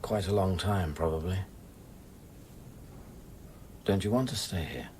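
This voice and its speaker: Low-voiced, troubled male scientist. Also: unhurried, a little mellow, slight background noise. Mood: guarded tenderness. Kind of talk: restrained radio drama acting.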